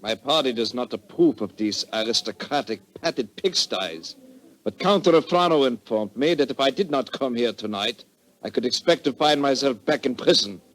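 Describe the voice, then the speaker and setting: Scornful revolutionary, acted by a man, medium pitch. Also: animated, moderate pace, lightly acted, poor audio. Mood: bitter, scornful. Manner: sneering, declamatory. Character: bitter, scornful Viennese male revolutionary, proud and plain-spoken. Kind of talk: 1940s radio drama acting.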